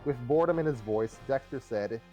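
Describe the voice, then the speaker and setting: A man's voice: mid-range voice, brisk, dark tone, rough recording. A man reading a comic story aloud as narrator on a live stream, sometimes repeating phrases, with music behind him. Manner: stumbles over and re-reads phrases.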